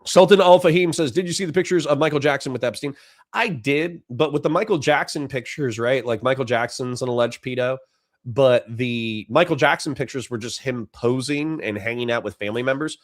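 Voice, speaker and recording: A man's voice: medium pitch, fairly quick, dry recording. A middle-aged man hosting a casual livestream, reading chat messages and thanking supporters in a dry, sincere way with frequent restarts. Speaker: livestream host.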